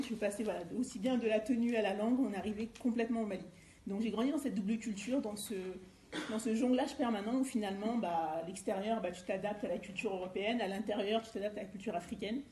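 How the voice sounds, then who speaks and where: Mellow woman presenter in an echoey room. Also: a little high, quick pace. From France, Eure-et-Loir. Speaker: life coach and former youth educator. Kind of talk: personal presentation at a seminar. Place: indoor seminar room. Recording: roomy echo with faint background noise.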